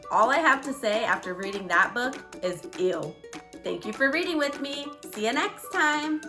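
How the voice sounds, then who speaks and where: A woman's voice: fairly high pitch, animated, normal speed, sharp tone, soft background music. A woman hosting a children's read-aloud channel, with a bubbly, sing-song, upbeat manner. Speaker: channel host. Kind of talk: cheerful read-aloud intro for kids.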